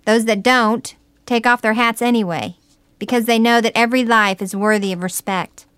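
A woman narrating a scripted, folksy reflective essay in a measured, plain-spoken way. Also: a little high, fairly bright tone, clear, resonant tone, no room sound.

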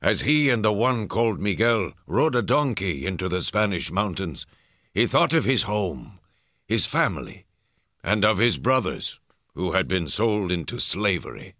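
A solemn storyteller narrating a radio drama legend. His voice is deep and slow, yet rises and falls expressively. Music plays clearly behind. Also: sing-song, pushed and bright, no room sound, clean studio sound, heavily acted. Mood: solemn, reflective. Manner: solemn, measured.

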